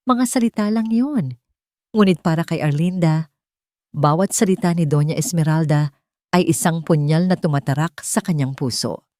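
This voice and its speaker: Lowish-voiced woman narrating romantic drama. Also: a little mellow, dry recording, studio quality.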